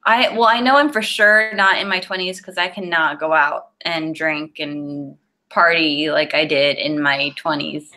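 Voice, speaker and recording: A woman in her early thirties, co-hosting a podcast from home over a video call, chatty and relaxed as she tells stories. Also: low voice, measured pace. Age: 30s. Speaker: podcast co-host. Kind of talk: casual chat. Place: home room with curtains. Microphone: video call webcam.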